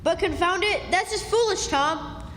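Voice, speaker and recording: A practical, doubting American country boy played by a woman, with an extremely high voice. The dialect is folksy and ungrammatical, acted for a radio play in an echoey hall. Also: normal speed, a bit bright, slight background noise. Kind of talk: live radio drama acting. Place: historic club hall, live performance. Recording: echoey hall stage, faint music.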